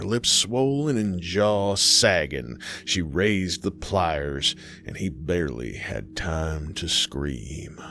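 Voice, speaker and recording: A man telling a scripted horror tale as a folksy fireside storyteller and host, with dramatic pauses. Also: sits low, fairly bright tone, slight background noise.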